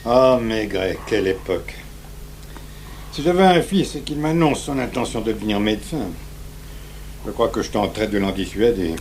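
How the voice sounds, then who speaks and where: An actor playing a weary family doctor in a radio drama, speaking emphatically and articulately. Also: male voice, fairly low pitch, animated, normal speed, pauses often, darkish tone. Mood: weary, bitter. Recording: faintly noisy 1980s radio recording.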